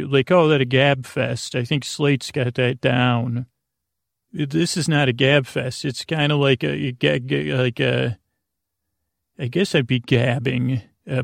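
An American man hosting a sleep podcast in a meandering, halting monologue, stumbling and trailing off mid-thought in a gravelly voice. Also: mid-range voice, no room sound, studio quality. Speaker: podcast host. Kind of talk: rambling bedtime monologue to help listeners sleep.

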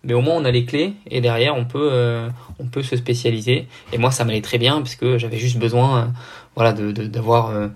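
A man's voice: medium pitch, steady tone, brisk. A young man from the south of France with a neutral French accent, talking casually and explaining things in a hesitant way as a podcast guest. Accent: neutral French, no regional accent. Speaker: podcast guest, amateur triathlete. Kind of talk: casual interview conversation.

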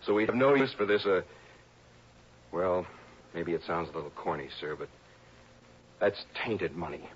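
Male actor as a polite, nervous young student; lower voice, faint hiss, muffled vintage broadcast. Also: normal speed, long pauses, lightly acted. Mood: earnest. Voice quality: earnest, deferential, keeps saying sir. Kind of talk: 1960s radio drama acting. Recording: dull lo-fi 1960s radio broadcast.

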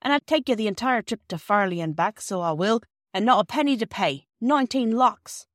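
A woman voicing a gruff but generous working-class bargeman with rustic turns of phrase, for a storybook. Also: medium pitch, animated, a little fast, no echo, studio quality, pitched above the performer's own voice, natural voice. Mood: hearty.

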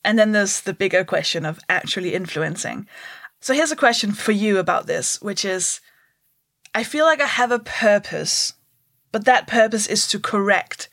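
A woman's voice: medium pitch, fairly bright tone, dry recording. Woman comedian from denmark, 30s, wry and self-deprecating, chatty guest, dry close mic. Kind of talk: candid interview conversation.

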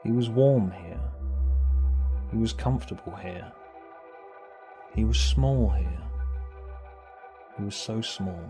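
Guy narrating an audio story, lowish voice, measured delivery, bit of music underneath. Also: mellow. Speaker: author and narrator.

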